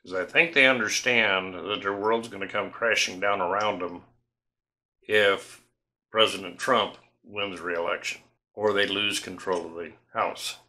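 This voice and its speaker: A man's voice: low voice, fairly even intonation, a little slow, pushed and bright. An older man from Tennessee, talking casually to camera as a homestead vlog host in a folksy, suspicious, rambling way, recorded indoors at home on a desk mic. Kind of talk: casual opinion talk to camera. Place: home studio room indoors.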